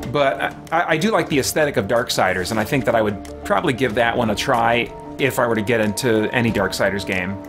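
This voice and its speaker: A man's voice: mid-range voice, fast, few pauses. A middle-aged man casually commentating on a video game he is playing, musing aloud with mild folksy exclamations and reading out chat. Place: small indoor room at home. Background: video game music.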